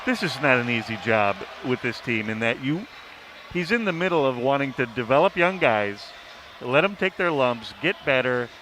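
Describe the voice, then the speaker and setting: Bright-voiced male sports panelist. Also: mid-range voice, animated, moderate pace, slight background noise. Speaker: sports writer and panel co-host. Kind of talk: casual sports broadcast chat.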